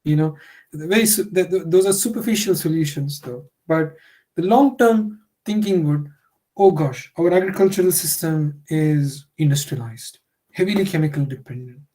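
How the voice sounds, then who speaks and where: A man's voice: fairly high pitch, moderate pace, mellow, low-quality recording. A man, a Telugu speaker, giving a workshop lecture in a conversational way, full of "you know" fillers. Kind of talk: online lecture.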